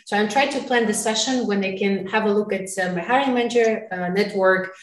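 A woman answering casually as an interview guest, halting as she searches for words, with non-native phrasing. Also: mid-range voice. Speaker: interview guest, recruiting sourcer. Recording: roomy, echoey, distant mic.

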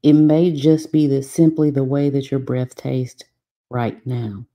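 A woman guides a meditation in a very deep voice for a woman. Her tone is extremely soft-edged and dark, and she speaks slowly. She gives soothing, measured instructions and leaves long pauses between phrases. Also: steady tone. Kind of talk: guided meditation, scripted narration.

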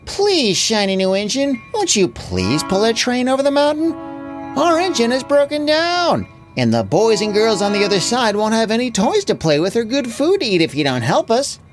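A storyteller voicing a chorus of toy dolls who plead in high voices. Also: wildly swooping pitch, moderate pace, over music.

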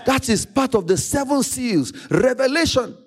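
High, animated Nigerian male preacher. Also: slightly rough, faint music behind. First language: Yoruba. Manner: emphatic, repeats phrases, dramatic pauses. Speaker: pastor. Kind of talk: preaching a sermon.

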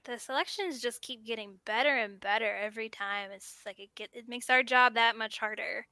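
A woman's voice: fairly high pitch, normal speed, bright, forceful tone, no room sound. A woman co-hosting a fiction podcast, narrating a story and then chatting about it in an earnest, thoughtful way. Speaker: podcast co-host and story reader. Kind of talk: story narration and casual chat.

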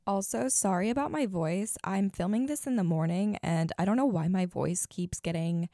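A young woman in her twenties with a raspy morning voice, giving blunt, chatty advice as a podcast host. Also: mid-range voice, normal speed, warm tone, dry recording, studio quality. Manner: blunt, chatty, many fillers. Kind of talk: casual advice chat.

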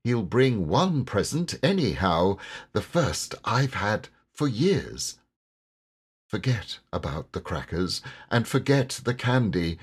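A lonely storybook king, acted out by a man wishes with childlike, plaintive emphasis. His low, slightly husky voice is petulant and hopeful, rising and falling dramatically. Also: exaggerated voice.

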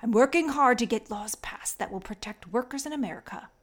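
Woman doing an earnest american workman guide, lecturing, slightly high voice. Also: lively intonation, brisk. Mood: cheerful. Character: earnest American machinist man, reformer.

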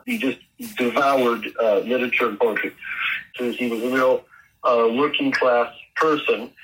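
A man's voice: mid-range voice, a bit bright, poor audio. A man in his sixties talking casually in an interview, loose and conversational, circling back on his phrases. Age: 60s. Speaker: interviewee remembering his father.

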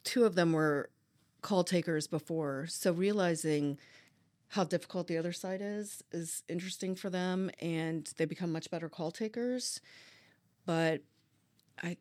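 A woman's voice: on the low side, darkish tone, dry recording. A woman who works as a police dispatcher, answering interview questions openly and reflectively, with frequent restarts. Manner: open, reflective, halting restarts.

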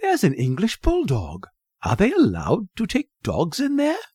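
A man's voice: mid-range voice, huge pitch swings, measured pace, breathy edge, no echo, studio quality, pitched above the performer's own voice, full character voice. A man voicing an eager, curious young boy with a chirpy, inquisitive manner in a storybook reading. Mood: delighted.

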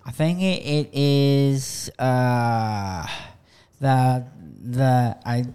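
Very slow, halting man's voice. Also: mid-range voice, warm tone. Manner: halting, repeats words and syllables as he builds sentences. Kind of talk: casual chat.

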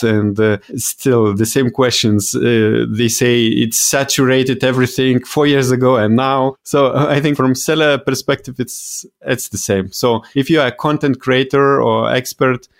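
A man from Lithuania with a Lithuanian accent, talking casually as a podcast guest in a somewhat halting, hesitant way. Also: medium pitch, fairly even intonation. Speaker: interview guest, virtual event organizer.

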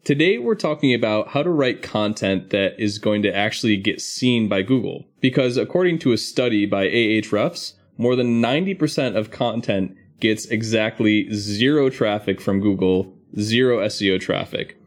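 A man's voice: fairly low pitch, lively intonation, moderate pace, hardly any pauses. A man hosting a podcast, explaining things in a structured, conversational way. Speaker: podcast host.

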